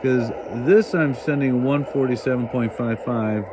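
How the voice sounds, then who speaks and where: A man hosts a casual hands-on demonstration, talking slowly as he works. He thinks out loud in a halting manner, with a medium pitch. Noticeable hissy noise sits behind his voice. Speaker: host demonstrating a shortwave radio.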